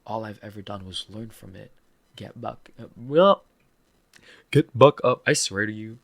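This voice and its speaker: A man's voice: on the low side, unhurried, creaky. A man with a Filipino accent, hosting a podcast and speaking candidly and earnestly about a personal setback, with some self-deprecating humor. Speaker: podcast host. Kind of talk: personal reflective monologue.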